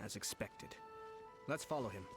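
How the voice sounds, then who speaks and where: A man playing a calculating, courteous nobleman in a video game, speaking in a measured, knowing way. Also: lowish voice, close to an everyday voice. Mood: smug calm. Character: composed, calculating young nobleman schemer, smooth and courteous. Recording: music behind the voice. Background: game music.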